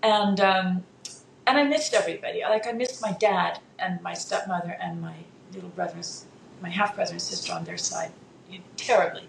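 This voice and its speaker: Dutch-born woman in her fifties, gravelly voice, lots of ums, echoey room with a faint hiss. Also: fairly low pitch, moderate pace. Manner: hesitant, many fillers and restarts. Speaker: interview guest. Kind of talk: casual conversation.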